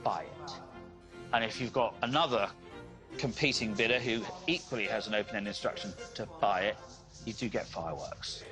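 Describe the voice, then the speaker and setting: Auctioneer guy, slightly low voice, explaining bidding wars, music behind. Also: moderate pace. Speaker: classic car auctioneer. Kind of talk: interview.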